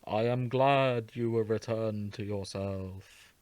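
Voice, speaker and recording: A man voicing an ancient female sage spirit, a solemn chief speaking grandly with dramatic pauses in a fan fiction reading. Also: on the low side, fairly even intonation, a little mellow. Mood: fond farewell.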